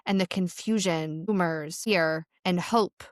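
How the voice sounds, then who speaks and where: Smooth low-voiced woman co-hosting. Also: no room sound, studio quality. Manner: measured, articulate, lays out the series plan. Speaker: podcast co-host, aid worker. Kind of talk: scripted podcast introduction.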